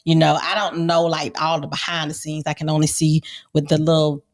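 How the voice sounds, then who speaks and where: A woman's voice: low voice, little pitch movement, brisk. An American woman co-hosting a casual panel podcast, telling things emphatically and repeating herself for effect. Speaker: podcast co-host.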